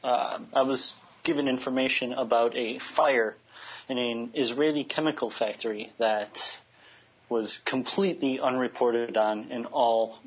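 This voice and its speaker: A man's voice: medium pitch, fairly even intonation, a little slow, breathy edge, lo-fi sound. A man from Chicago speaking earnestly as a radio interview guest, often restarting his phrases as he searches for words. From United States, Chicago.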